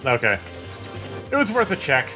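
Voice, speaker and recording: A man streaming a video game, rambling, swearing and joking at himself while his voice turns hoarse and tired. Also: fairly high pitch, wildly swooping pitch, moderate pace. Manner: rambling, swearing, self-mocking. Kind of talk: casual gameplay commentary. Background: game music.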